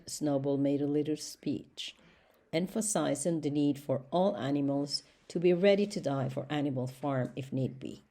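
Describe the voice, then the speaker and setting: Woman reading a book out loud, low mellow voice, steady and measured. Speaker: audiobook reader. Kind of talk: reading a novel aloud. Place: educational reading recording.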